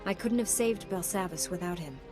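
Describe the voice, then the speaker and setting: A woman's voice: on the low side, fairly quick, over music, natural voice. A woman playing a resolute young heroic knight in a video game, confident and direct. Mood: calm, assured.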